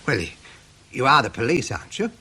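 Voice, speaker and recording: A man's voice: medium pitch, sing-song, brisk, bright, forceful tone, a little hiss or hum. An actor playing a blunt, suspicious middle-aged Lancashire man being interrogated in a radio drama, defensive and stroppy. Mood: defiant.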